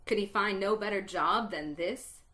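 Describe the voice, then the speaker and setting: A woman reading a story aloud with dramatic pauses, dropping to a hush at the sad parts. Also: mid-range voice. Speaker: reader.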